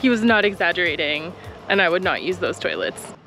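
A young woman vlogger talking to a handheld camera outdoors in a wooded park, giving a clear, practical tour of a campground with friendly tips. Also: fairly low pitch, big pitch swings, brisk, sharp tone, faint music behind, rough recording. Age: young adult. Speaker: travel vlogger touring a campground. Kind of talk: informative park tour narration. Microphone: camera held at arm's length.

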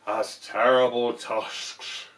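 A man's voice: mid-range voice, little pitch movement, drawn-out words, fairly bright tone, recorded from a distance, poor audio. A man voicing a small, polite storybook mouse who boasts and teases with emphatic delivery. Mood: ominous boasting.

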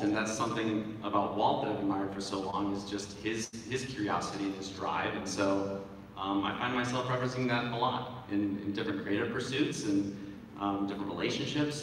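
A man with a fairly low voice speaks as a panel guest, heard from far back in a very echoey hall. He is earnest and reflective, feeling his way haltingly through his answers, with faint noise around him. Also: a bit bright. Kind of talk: casual stage panel answers. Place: large convention hall stage, audience recording from afar.

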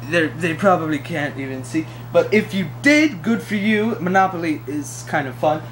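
A man's voice: a little high, normal speed, fairly bright tone, a little hiss or hum, low-quality recording. A teenage boy hosting a casual vlog from home, joking and rambling with friends. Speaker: vlog host. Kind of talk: casual chat.

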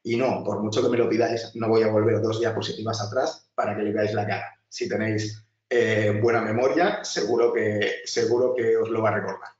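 Chatty instructor, distant roomy audio. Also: male voice, on the low side, flat delivery, a little fast, few pauses, a little mellow, muffled recording. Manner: chatty, many restarts and filler, addresses class directly. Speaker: design instructor. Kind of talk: webinar lecture over slides.

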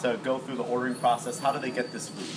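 A man's voice: medium pitch, fairly even intonation, fast talker. A man in his thirties hosting a casual, upbeat interview, filmed on a camera from across an indoor room. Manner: upbeat, chatty, gestures while talking. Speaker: host. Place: bright indoor room with glass wall. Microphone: far camera. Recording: camera audio with loud background noise.

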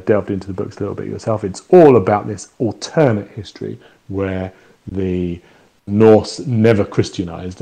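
A man from England with an English accent, an author answering interview questions in a reflective, halting storytelling way. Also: deep voice, warm tone. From East Anglia, England; lives in Canada. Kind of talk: conversational interview, storytelling explanation.

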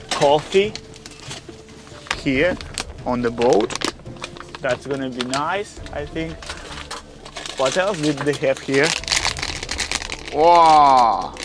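Excitable young man with a Slavic accent. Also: on the high side. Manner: excitable, narrates each find out loud, non-native grammar. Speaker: adventure vlogger. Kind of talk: exploring vlog narration. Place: inside a snow-filled boat cabin, outdoors in winter.

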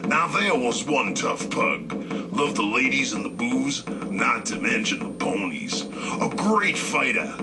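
A man's voice: mid-range voice, sing-song, a little slow, gravelly. An actor playing a wry, streetwise storyteller, narrating a boxing game cutscene with colloquial tough-guy asides. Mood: wry, sardonic. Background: music.

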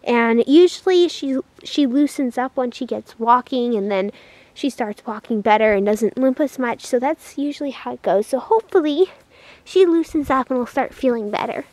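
A woman's voice: on the high side, moderate pace, darkish tone, dry recording. A woman narrating a casual horse vlog in a chatty way, speaking fondly of her animals. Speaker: horse vlogger.

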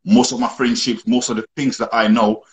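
A man's voice: medium pitch, flat delivery, fairly quick. A young man whose first language is Portuguese, chatting casually on a live video call from a room, often saying "obviously" and "you know what I mean". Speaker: guest rapper. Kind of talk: casual chat on a live stream. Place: indoor room. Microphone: phone at arm's length, video call.

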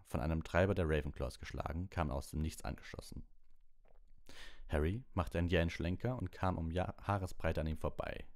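Male narrator for a bedtime audiobook, low register, reading scripted prose. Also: darkish tone.

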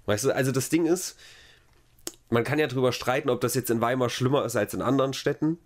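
Male podcast co-host, mid-pitched, casual and sardonic, loose rambling storyteller. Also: fast talker.

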